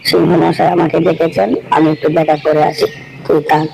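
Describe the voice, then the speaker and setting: Man as a creaky-voiced sly cartoon fox. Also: a little high, level tone, brisk, very noisy. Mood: sly, bossy. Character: sly, scheming male fox, bossy big brother. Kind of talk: cartoon dialogue.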